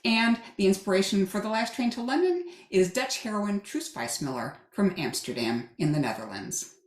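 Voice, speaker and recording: A woman's voice: mid-range voice, sing-song, moderate pace, slight background noise. A woman from the United States reads a scripted author introduction in a polished, rehearsed way. Her voice sits mid-range and rings in an echoey room. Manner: polished, well-rehearsed delivery. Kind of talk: scripted promotional reading.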